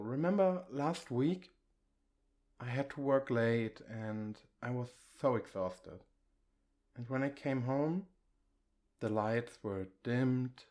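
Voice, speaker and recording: Casting: male actor as tender, comforting boyfriend for ASMR roleplay; mid-pitched, very unhurried, intimate one-to-one delivery. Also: a little mellow, natural voice. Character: affectionate, reassuring boyfriend, shyly bragging about his partner.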